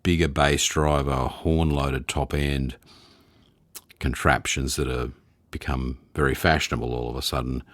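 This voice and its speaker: A man hosting a conversational podcast interview, thinking aloud in a halting, searching way. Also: extremely low pitch, level tone, a bit bright, no room sound. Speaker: podcast host.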